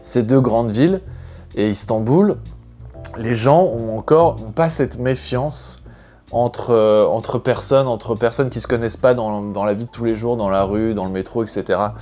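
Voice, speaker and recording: A man from France talks casually to his viewers in a chatty, unscripted way, thinking out loud as he goes. His voice sits at an everyday mid pitch. Soft music plays quietly behind him. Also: normal speed. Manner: chatty, thinking aloud, loosely rambling. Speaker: expat vlogger. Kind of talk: casual explanatory vlog.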